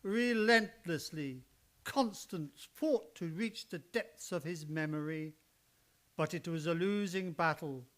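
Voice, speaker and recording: Man as male narrator, solemn, deliberate pace, scripted suspense, old-time radio style. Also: fairly high pitch, fairly bright tone. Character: solemn third-person storyteller of a suspense tale.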